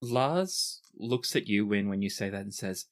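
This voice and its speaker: A man narrating a tabletop role-playing game as its game master. His voice sits a little low, and he talks in a relaxed way with fillers now and then. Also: a little slow.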